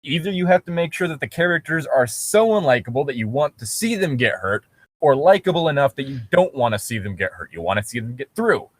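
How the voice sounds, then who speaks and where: A man's voice: mid-range voice, sing-song, brisk, no echo. A man co-hosting a reading show, giving casual critical commentary on a story among friends. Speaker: co-host of a fan fiction reading show.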